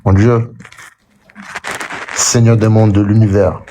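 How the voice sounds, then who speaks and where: A man's voice: on the low side, level tone, slow, mellow, a little hiss or hum. A man calling into a live debate, answering hesitantly and defensively. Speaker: caller.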